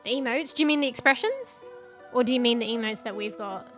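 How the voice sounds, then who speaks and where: A woman streamer chatting casually with her viewers, rambling with lots of fillers and the odd swear, her voice going a bit hoarse. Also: a little high, quick pace. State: losing her voice, coughing. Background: music.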